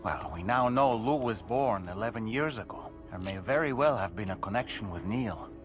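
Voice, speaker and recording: A thoughtful male companion in a video game, played by an actor, speaking in a lowish voice. He muses and questions like someone working through a mystery. Music is clearly heard behind him. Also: animated, normal speed, natural voice. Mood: pondering. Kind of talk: video game cutscene acting.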